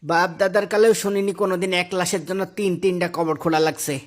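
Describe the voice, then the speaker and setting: A man playing a frightened, grumbling young village gravedigger in a cartoon tale, excitable and complaining. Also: sits high, fast talker, lightly acted. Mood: uneasy. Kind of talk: Islamic moral cartoon story acting.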